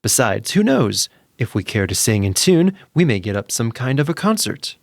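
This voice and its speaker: A man's voice: medium pitch, sing-song, moderate pace, vocal fry, no room sound, studio quality. A man voicing a friendly, encouraging old male donkey in a storybook narration. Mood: cheerful, persuasive.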